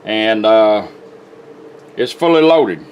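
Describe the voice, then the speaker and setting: A man's voice: fairly low pitch, animated, slow pace, dull audio. A plainspoken, folksy man giving a casual product demonstration as a radio restorer. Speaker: radio restorer presenting his work.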